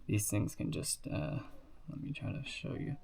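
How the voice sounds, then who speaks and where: Sniffly man with a gravelly voice. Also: lowish voice, measured pace, darkish tone. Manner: rambling, with many fillers and asides. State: sick and sniffly. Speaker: hobbyist reviewing toy building sets. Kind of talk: casual show-and-tell.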